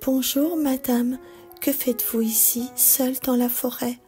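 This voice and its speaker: A woman voicing a kind young fairy-tale princess, gentle, earnest and full of feeling. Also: sits high, level tone, measured pace, soft background music, pitched above the performer's own voice. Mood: gentle, polite. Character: kind young princess, turned into a small frog.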